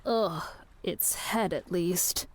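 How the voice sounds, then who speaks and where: A woman's voice: lowish voice, very slow. An actress playing a practical, exasperated woman with a dry, weary manner in an audio drama. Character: exasperated, queasy, practical woman minding her raving companion. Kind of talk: scripted audio drama acting.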